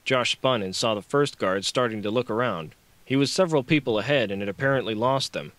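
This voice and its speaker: A man's voice: medium pitch, fairly quick, a bit bright, no echo. Male audiobook narrator, dry close sound. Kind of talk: scripted fiction narration.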